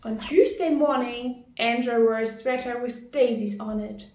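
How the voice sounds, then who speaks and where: A woman reading a children's storybook aloud as narrator, in a deliberate, careful and expressive way. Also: fairly high pitch, roomy sound. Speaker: storybook reader.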